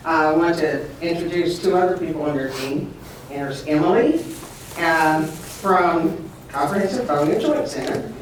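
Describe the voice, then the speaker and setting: A woman hosts a community event and asks her guests questions in an informal, friendly way. Her voice sits a little low. She is picked up from far off in a very echoey room, with noise behind her. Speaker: host.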